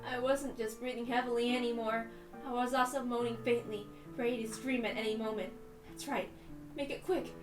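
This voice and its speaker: A woman reading first-person visual novel narration dramatically, in a tense, deliberate and emotive way. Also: on the high side, fairly even intonation. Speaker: reader on a stream. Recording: roomy, distant mic, music behind.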